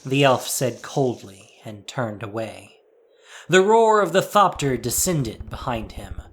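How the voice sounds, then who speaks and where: A man's voice: medium pitch, big pitch swings, unhurried, breathy edge. A man narrating a scripted audio drama in a dramatic storytelling style.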